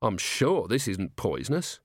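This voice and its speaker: A man's voice: fairly low pitch, sing-song, a little slow, husky, dry recording, studio quality, exaggerated voice. A man voicing an earnest, polite and slightly awkward young police captain in a storybook reading, deferential and innocent. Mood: matter-of-fact. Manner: deferential, innocent, literal-minded.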